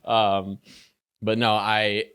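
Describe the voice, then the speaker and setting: A man's voice: on the low side, drawn-out words, clean tone, no echo, clean studio sound. A young man from Chicago, a podcast guest and soccer player, chatting casually with many fillers and restarts. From Chicago, United States. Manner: relaxed, many fillers and restarts, laughs easily. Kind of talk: casual interview chat.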